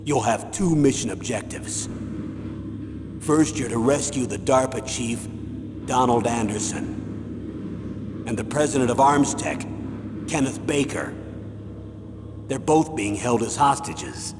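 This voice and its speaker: A man playing a stern older military commander in a video game, giving a measured, grave and authoritative briefing. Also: medium pitch, natural voice. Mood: grave, instructing. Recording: long reverb, clear background noise.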